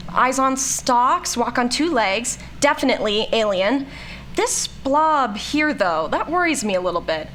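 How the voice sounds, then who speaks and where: A woman playing a snarky, excitable scientist and explorer in an audio drama, sarcastic, bossy and bluntly casual. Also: high voice, slight background noise. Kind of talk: scripted audio drama acting.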